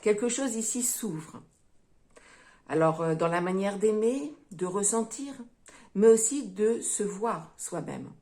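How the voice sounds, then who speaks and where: A woman giving a tarot reading to her viewers, speaking gently and unhurriedly, often pausing with hesitations and calling them her friends. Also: mid-range voice, lively intonation. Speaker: tarot reader.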